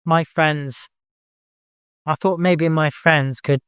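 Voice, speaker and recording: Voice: mid-range voice, fairly even intonation, takes it slowly, fairly bright tone, smooth, dry recording, clean studio sound, pitched above the performer's own voice. Narrator as a frightened, defiant young woman. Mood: insistent. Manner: earnest, emotional.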